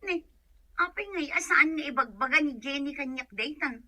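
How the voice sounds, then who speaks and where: Anxious migrant mother, high-pitched, forceful edge, plaintive, questioning, radio drama. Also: animated, normal speed, dry recording, full character voice. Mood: weary, worried. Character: worried, weary mother working abroad, questioning her family. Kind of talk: Ilocano comedy radio drama acting.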